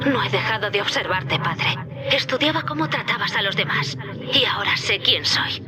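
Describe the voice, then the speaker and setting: A woman playing an earnest, devoted young daughter in a video game, speaking Castilian Spanish in an intimate, solemn, at times breathy way. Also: medium pitch, animated, moderate pace, noisy background, lightly acted. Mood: resolute, hopeful. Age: young adult. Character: earnest, devoted young captive daughter, gentle yet resolute. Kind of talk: dramatic video game voice acting.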